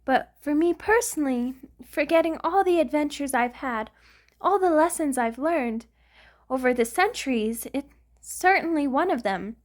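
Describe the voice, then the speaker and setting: A woman's voice: high-pitched, expressive, moderate pace. A woman voicing a centuries-old vampire lover in an intimate ASMR roleplay, doting and anxious, with a hesitant, sighing delivery. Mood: fond, reminiscing. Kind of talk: ASMR romantic roleplay monologue.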